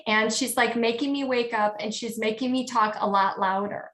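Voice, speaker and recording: Woman medium on a video call: soft, higher voice, keeps asking if you follow. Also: fairly even intonation, moderate pace. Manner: soft-spoken, frequent checking questions. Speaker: spiritual medium. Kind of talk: live spiritual reading.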